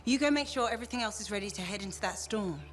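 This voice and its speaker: An actress playing a capable, caring navigator in a video game, with an informal, natural way of talking. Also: female voice, mid-range voice, animated, rapid-fire, natural voice. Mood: bright, assured. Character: capable, caring female tech navigator and pilot's partner. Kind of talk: cinematic video game acting.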